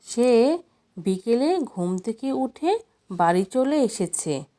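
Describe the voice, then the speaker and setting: A woman's voice: a little high, sing-song, slow pace, mellow. A woman storyteller narrating a folk tale expressively, as if reading from a storybook.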